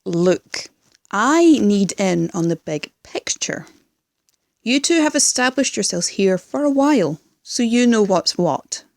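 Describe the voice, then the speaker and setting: A woman's voice: medium pitch, sing-song, slow pace, vocal fry, a little mellow, no room sound, clean studio sound, exaggerated voice. A woman playing a scheming, domineering crime boss with a commanding, sardonic manner in an audio drama. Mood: exasperated, commanding. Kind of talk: fan audio drama acting.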